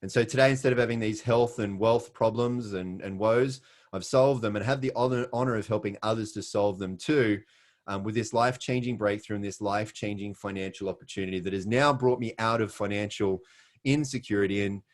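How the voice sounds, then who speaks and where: A man's voice: on the low side, a little fast. A middle-aged Australian man giving an earnest, scripted sales presentation, with frequent "uh" fillers.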